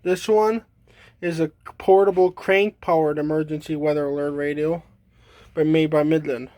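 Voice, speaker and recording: A man giving a casual, unscripted demonstration as a hobbyist, halting and trailing off mid-thought. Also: fairly high pitch, fairly even intonation, darkish tone, no room sound. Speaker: hobbyist demonstrating a weather radio collection.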